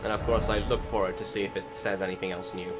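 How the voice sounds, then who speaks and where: Young man, casual voice-over, low-pitched, filler-heavy rambling, clear game music behind. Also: little pitch movement, fast talker. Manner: rambling, many fillers and restarts. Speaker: gameplay commentator. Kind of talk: casual voice-over commentary on gameplay. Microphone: desktop recording setup.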